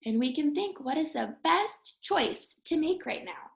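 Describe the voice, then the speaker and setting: A woman reading a picture book aloud to children like a teacher, expressive and emphatic, speaking directly to her young listeners. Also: high voice, a little slow, vocal fry. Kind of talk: children's read-aloud with encouraging asides. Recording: roomy, echoing indoor sound.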